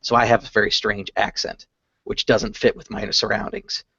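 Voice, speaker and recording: A man from California explaining ideas in a measured way on a video hangout. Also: lowish voice, flat delivery, creaky, bright, forceful tone, breathy edge. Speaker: game master panelist. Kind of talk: casual chat on a video hangout. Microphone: video call microphone. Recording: dull, dry call audio.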